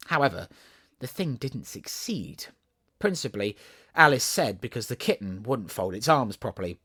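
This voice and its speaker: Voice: medium pitch, very animated, normal speed, fairly bright tone, husky, no echo, studio quality. A narrator with a Southern English accent reading a children's storybook expressively. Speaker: audiobook narrator.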